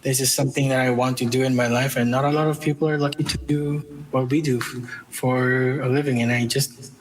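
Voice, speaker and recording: A man's voice: medium pitch, level tone, fairly quick, low-quality recording. A man chatting casually as an interview guest, reflective and modest, a little tentative. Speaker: interview guest, game composer.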